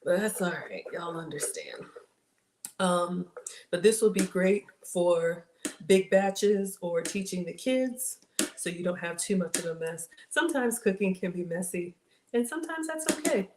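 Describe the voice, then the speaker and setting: Raspy woman chatting through a cooking stream. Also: fairly low pitch, expressive, measured pace, darkish tone. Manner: chatty, talks to viewers as y'all. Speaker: cooking livestream host. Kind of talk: casual live cooking chat with viewers. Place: home kitchen, indoors. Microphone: fixed camera at counter. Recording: echoey kitchen with clear background noise.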